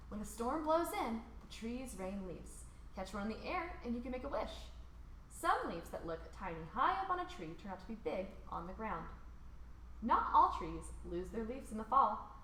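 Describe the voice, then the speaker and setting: Female, American, children's read-along host. Lively pitch movement, informal delivery, recorded in a roomy echo. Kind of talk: picture book read aloud for children. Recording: roomy echo, faint background noise.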